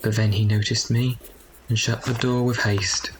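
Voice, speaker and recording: An actor playing a grown orphan man recalling his boyhood among thieves, in reflective, measured first-person audio drama narration. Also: lowish voice, level tone, background noise, natural voice.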